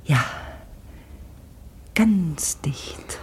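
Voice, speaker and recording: A gentle mother in a radio drama with a husky, lowish voice. She is pensive and tender, speaking in measured, poetic phrases. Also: animated, whispered, heavily acted. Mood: tender, wistful. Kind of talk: literary radio play acting.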